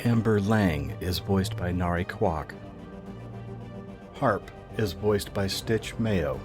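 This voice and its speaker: A man's voice: deep voice, slow. A man reading scripted podcast credits as an announcer. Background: music.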